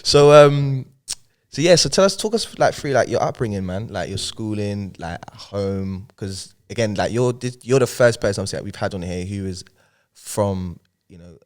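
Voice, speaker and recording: A man's voice: lowish voice, moderate pace. A man hosting a casual interview, chatty and full of fillers like "like" and "obviously". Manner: chatty, filler-heavy, says "like" and "obviously" a lot. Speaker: podcast host.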